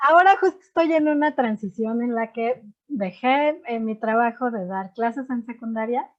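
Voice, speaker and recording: A woman in her thirties with a Mexican accent, talking through her teaching life in a relaxed, rambling way. Her voice sits a little high. The audio is dull and lo-fi, like a remote call. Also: normal speed. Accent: Mexican Spanish. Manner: chatty, frequent fillers like "este" and "pues". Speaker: guest, chemistry teacher. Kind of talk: relaxed radio interview chat.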